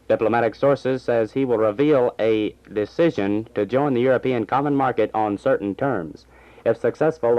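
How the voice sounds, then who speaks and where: Muffled vintage radio newsman. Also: medium pitch. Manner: formal, measured announcer delivery. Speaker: radio newsreader and station announcer. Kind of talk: 1960s scripted radio news reading. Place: radio station studio. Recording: dull lo-fi vintage AM radio recording.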